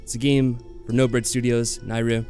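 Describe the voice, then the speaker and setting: A male let's play host with an average-pitched voice talks casually over soft music. He rambles a little and tends to qualify what he says as he comments on the game. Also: level tone, moderate pace. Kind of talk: casual chat and game commentary, reading game text aloud. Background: game music behind the voice.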